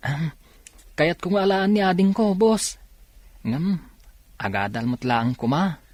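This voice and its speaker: A man with a Filipino accent acting in a radio drama as an earnest, gentle young factory worker and older brother. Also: fairly high pitch, expressive, slow, no echo. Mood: respectful.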